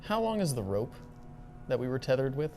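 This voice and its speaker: A woman's voice: a bass voice, very animated, a little fast, dark tone, faint music behind. A woman playing a tabletop role-playing game, speaking casually as she asks questions at the table.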